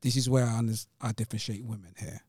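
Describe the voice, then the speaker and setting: A man's voice: mid-range voice, fairly even intonation, rapid-fire, darkish tone, husky, no room sound. A man speaking as a podcast panellist in a casual discussion.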